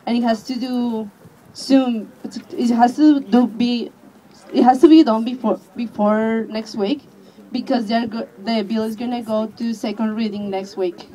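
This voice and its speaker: A woman with a mellow, slightly high voice gives a rally speech outdoors. She is earnest but hesitant, pausing and restarting as she goes. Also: fairly even intonation, rough recording. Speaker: refugee advocate speaking at a rally.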